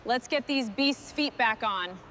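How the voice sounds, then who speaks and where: A woman with a fairly high voice speaks in brief, scripted work instructions, like a mechanic talking through a job. Some workshop noise runs behind her voice. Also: measured pace, a bit bright. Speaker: machinery restoration mechanic.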